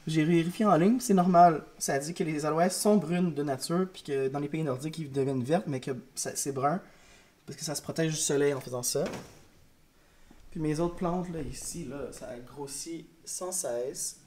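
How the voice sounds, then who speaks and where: A man with a Quebec French accent talks to his vlog camera from a classroom, his voice a little high for a man and congested. He rambles in a tired, frank, chatty way, with a faint hiss behind him. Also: moderate pace, darkish tone. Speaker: elementary school teacher. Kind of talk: casual vlog talk to camera. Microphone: camera mic.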